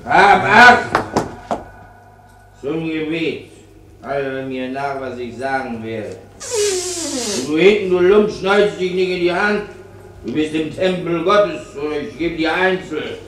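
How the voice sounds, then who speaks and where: An actor playing a drunken army chaplain in a radio play, rambling and abusive, swinging between barked orders and maudlin piety. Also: male voice, fairly high pitch, expressive, takes it slowly, long pauses, a bit bright. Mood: barking, scolding. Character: drunken, bullying army chaplain preaching to prisoners. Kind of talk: German radio play sermon. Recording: echoey chapel-like room.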